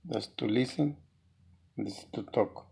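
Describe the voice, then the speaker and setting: A man presenting an unscripted hands-on how-to, speaking haltingly and repeating words as he works, with noise and clicks behind him. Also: medium pitch, darkish tone. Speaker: DIY tutorial presenter. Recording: clear background noise with clicks.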